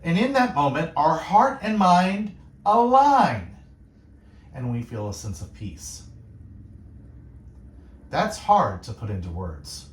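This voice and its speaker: A male radio host speaking in a calm, soothing, meditative way, gently musing and asking reflective questions. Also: fairly high pitch, takes it slowly, pauses often, roomy sound. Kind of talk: gentle devotional radio talk.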